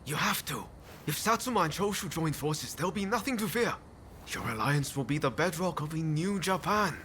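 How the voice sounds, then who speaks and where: A man's voice: a little high, lively intonation, moderate pace, pushed and bright, a little hiss or hum. A man playing a pragmatic, persuasive warrior in a video game cutscene, speaking directly and with resolve. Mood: urgent, persuasive. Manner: plain-spoken, direct.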